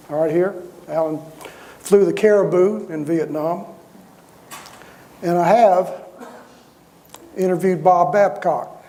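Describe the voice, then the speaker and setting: An older man with a soft voice, telling stories with wry, self-deprecating humor as a guest speaker before a club audience. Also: sits high. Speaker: veterans' historian and guest speaker. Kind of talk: storytelling talk to a club. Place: meeting hall before a live audience.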